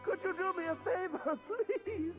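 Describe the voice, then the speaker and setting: Timid, very high-pitched young game character. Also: male voice, unhurried, muffled recording, over music. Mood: pleading. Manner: hesitant, stammering, polite. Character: timid embarrassed youth hiding inside a box, pleading politely. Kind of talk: video game dialogue acting.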